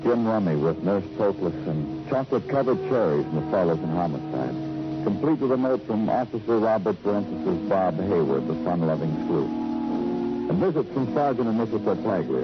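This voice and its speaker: Low-voiced hard-boiled radio detective. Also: male voice. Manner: clipped, terse, hard-boiled. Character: weary, persistent male police detective, terse and dogged. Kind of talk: classic radio crime drama, first-person narration and dialogue. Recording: dull old radio recording, music behind.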